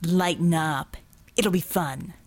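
A woman's voice: fairly low pitch, slow pace, breathy edge, voice pushed down. A woman voicing a smug, bored male villain in an audiobook, breezy and sardonic with mock-genteel turns of phrase.

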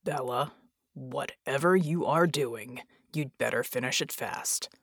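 A woman voicing a calm, steady man with a rumbling voice and a deliberate delivery in an audiobook story. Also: gravelly, slightly rough, no room sound, clean studio sound, exaggerated voice. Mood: calm urgency.

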